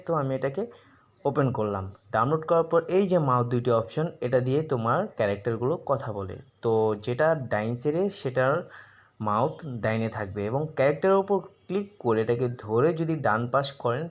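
A man hosts an informal app tutorial, explaining step by step with frequent check-in questions and English words mixed in. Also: medium pitch. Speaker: tutorial host.

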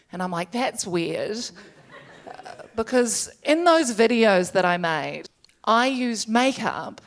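A young woman with a New Zealand accent telling a stand-up comedy story on stage into a handheld microphone, dry and self-deprecating. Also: medium pitch. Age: young adult. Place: theatre stage, indoors, live audience.

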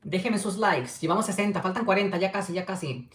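A man's voice: sits high, brisk, a bit bright. A man, chatting affectionately on a livestream and reading viewer comments. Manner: affectionate, chatty, keeps urging likes. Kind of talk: casual livestream chat. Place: small home room with window. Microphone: webcam at desk distance. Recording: echoey room.

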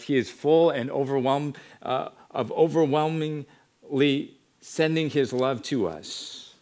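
A middle-aged American man preaching in a measured, earnest manner, building his exhortation on repeated parallel phrases. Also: medium pitch. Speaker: pastor preaching. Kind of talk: sermon. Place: church sanctuary, at a wooden pulpit.

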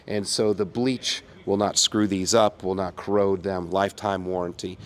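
An older American man with a hoarse, under-the-weather voice makes a folksy, upbeat pitch as a company owner. His voice sits fairly low, with a faint outdoor hum behind him. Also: flat delivery, normal speed. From United States. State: hoarse from a cold. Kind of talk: promotional chat to camera. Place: outdoors by a brick wall.

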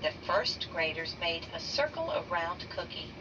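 Slow, lively woman reading a picture book. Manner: slow, deliberate reading with raised pitch for quoted lines. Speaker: read-aloud narrator. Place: bedroom, indoors. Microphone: phone camera held over the book. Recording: dull lo-fi sound from a distance with noise.

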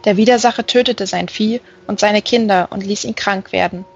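A woman voicing a gentle, learned male fallen angel in an audiobook, earnestly explaining scripture. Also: medium pitch, moderate pace, creaky, breathy edge, dull audio.

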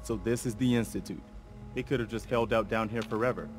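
An actor with an American accent playing an earnest, resolute, principled militia soldier in a video game, speaking firmly. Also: male voice, lowish voice, fairly even intonation, fairly quick, over music, close to an everyday voice. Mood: reflective. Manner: earnest, firm. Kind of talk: video game dialogue acting.

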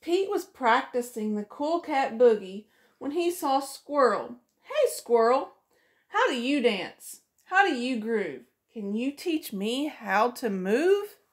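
A woman's voice: fairly high pitch, big pitch swings, slow pace. A middle-aged woman, a preschool teacher, reading a picture book aloud to children in a sing-song way, voicing each character, recorded close up in a small room.

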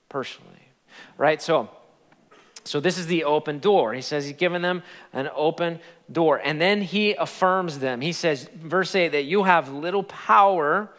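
A man in his forties preaching a sermon on a large church stage into a headset mic, animated and emphatic. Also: high-pitched. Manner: animated, gesturing preacher with rising emphatic peaks. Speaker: pastor. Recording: long hall reverb.

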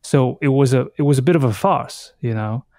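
A man in his thirties from Taiwan, whose first language is Taiwanese, speaks as a guest in a reflective conversation. His mid-pitched voice is recorded dry, with very little room sound. He thinks as he goes, halting and reworking his phrases. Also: vocal fry, darkish tone, no room sound. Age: 30s. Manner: halting, thoughtful, circles back to rephrase. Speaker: podcast guest, artist.

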